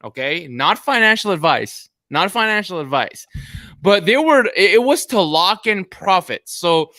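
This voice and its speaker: A man's voice: high voice, expressive, unhurried, bright, forceful tone, no room sound. A man whose first language is Korean, tipsy and rambling with many restarts as a panelist on a casual video call chat. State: drunk. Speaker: podcast panelist.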